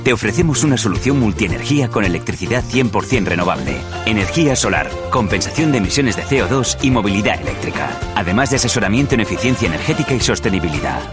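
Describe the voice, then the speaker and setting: A man's voice: on the low side, lively intonation, fast. A man reading a scripted radio advert as announcer. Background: prominent music.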